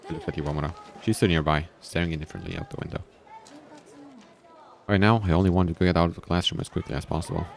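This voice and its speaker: A man's voice: low-pitched, fast, creaky, some chatter behind. A man reading a visual novel aloud as a streamer, with casual asides, in a somewhat strained, tired voice. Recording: background music.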